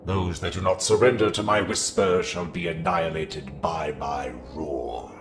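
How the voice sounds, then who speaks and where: A man's voice: sits low, fairly even intonation, a little slow. A man playing an ancient cosmic horror overlord, speaking in cold, pompous pronouncements. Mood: menacing command. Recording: echoey room with loud noise.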